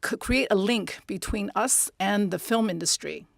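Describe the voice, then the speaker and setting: A woman interpreting live at a conference, speaking in measured, segmented phrases with pauses. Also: mid-range voice, gravelly, dry recording. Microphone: close interpreter's microphone.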